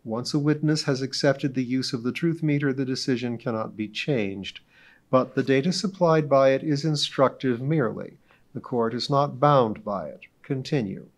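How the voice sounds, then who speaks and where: A man reading aloud and voicing a stern, patient judge who speaks in a measured, authoritative way. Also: mid-range voice, close to an everyday voice. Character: stern weary male judge, dry and patient.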